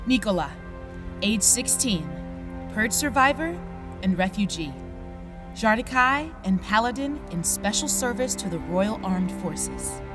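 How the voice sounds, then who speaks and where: A woman's voice: medium pitch, lively intonation, measured pace, over music, natural voice. A woman voicing a teenage girl refugee soldier formally stating her record in an audio drama. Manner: formal roll-call delivery.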